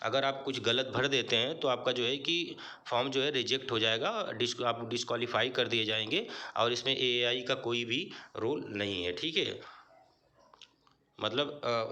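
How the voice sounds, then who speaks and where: Bright-voiced Indian man explaining forms. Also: medium pitch. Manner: repetitive check-ins, halting when reading English. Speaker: online job-form guide host. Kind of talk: informal explainer, reading notices aloud and explaining them.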